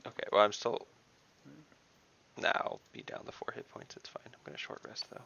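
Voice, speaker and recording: A man's voice: a little fast, pushed and bright, lo-fi sound, slight background noise. A man playing an online tabletop game, talking casually and directly with lots of questions.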